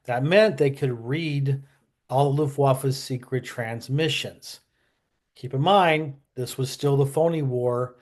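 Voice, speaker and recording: A man's voice: medium pitch, slow pace. Casual, digressive man hosting a history vlog. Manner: digressive, colloquial, opinionated. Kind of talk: casual, conversational history commentary.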